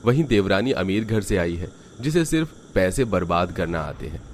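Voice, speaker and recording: A man's voice: deep voice, expressive, normal speed, slight background noise. A man narrating a scripted cartoon family story.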